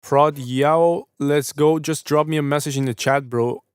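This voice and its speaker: A man's voice: on the high side, steady tone, measured pace, clear, resonant tone, no echo, studio quality. A young man hosting a music review livestream, giving casual mixing feedback, swearing freely and stuttering now and then. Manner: laid-back, swears casually; stutters at times.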